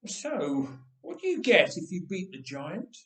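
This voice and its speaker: A man's voice: high-pitched, wildly swooping pitch, measured pace, a bit bright, recorded from a distance, full character voice. A man voicing an earnest, brave young shepherd boy in a storybook, plain-spoken and determined. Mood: curious. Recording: roomy, echoing room sound.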